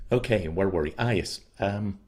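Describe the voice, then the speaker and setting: A man hosting his own channel, talking casually and thoughtfully to his viewers, often pausing to weigh his words, his throat a little dry and scratchy. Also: lowish voice, dark tone, breathy edge. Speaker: channel host.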